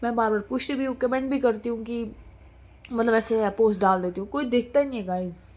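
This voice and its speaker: A woman's voice: on the high side, fairly quick, darkish tone. A young woman vlogging in casual, rambling chat, often switching between Hindi and English.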